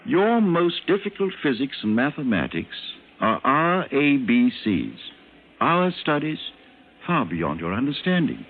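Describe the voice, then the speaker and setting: A man playing a calm, condescending doctor of a superior evolved race, lecturing deliberately in an old-time radio drama. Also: mid-range voice. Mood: lofty lecturing. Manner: lofty, didactic, deliberate. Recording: noisy, narrow-band old radio recording.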